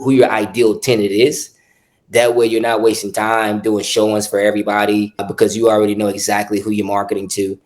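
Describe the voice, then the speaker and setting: A man from the South Side of Chicago, a real estate investor talking as a podcast guest in a measured way, often repeating words. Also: on the low side, a little mellow. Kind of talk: casual podcast interview.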